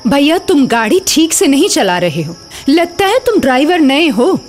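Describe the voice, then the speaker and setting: Give this a high, lively female voice acted by a woman: a menacing ghost hitchhiker from a horror cartoon. She is commanding and insistent, with swooping pitch over background music. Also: moderate pace. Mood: scornful, warning. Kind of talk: Hindi animated horror story voice acting.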